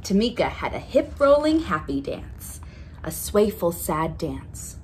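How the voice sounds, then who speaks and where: A woman's voice: mid-range voice, big pitch swings, measured pace, darkish tone, poor audio. A woman reading a children's picture book aloud expressively, drawing out key words for emphasis. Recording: noticeable background noise.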